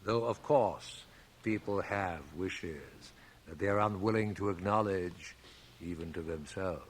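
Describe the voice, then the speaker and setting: An actor playing a suave, self-assured stage magician in a radio drama, speaking formally and precisely with measured theatrical poise. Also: male voice, deep voice. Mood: lofty, firm. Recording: 1980 radio drama, hissy cassette transfer.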